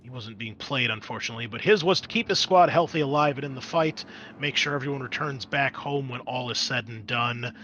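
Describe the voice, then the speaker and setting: A man's voice: mid-range voice, brisk, fairly bright tone, muffled recording, slight background noise. A man narrating a tabletop roleplaying game as game master, moving between dramatic scene-setting and casual asides.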